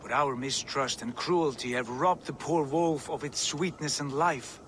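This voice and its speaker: An actor playing an earnest, compassionate Norse god-warrior in a video game, speaking solemnly. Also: male voice, mid-range voice, unhurried, fairly bright tone, a little hiss or hum, close to an everyday voice. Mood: sorrowful, grave. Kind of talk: cinematic video game acting.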